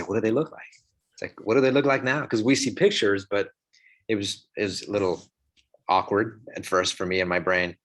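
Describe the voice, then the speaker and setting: A man's voice: fairly low pitch, fairly bright tone. A man speaking as an actor on a panel, telling his experiences in a casual, anecdotal way.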